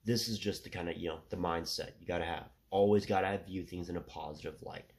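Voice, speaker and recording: A man in his thirties talking through a rambling casual monologue with a stuffy nose, filling every pause with "you know" and "right". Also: low-pitched, fairly even intonation, darkish tone. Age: 30s. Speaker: solo commentator.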